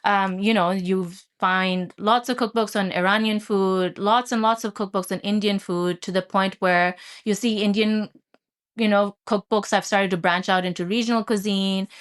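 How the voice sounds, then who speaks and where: Smooth-voiced woman from Pakistan, thoughtful. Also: mid-range voice, steady tone, normal speed. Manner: thoughtful, many fillers and hesitations. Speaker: guest author. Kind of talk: casual interview chat. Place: recording studio.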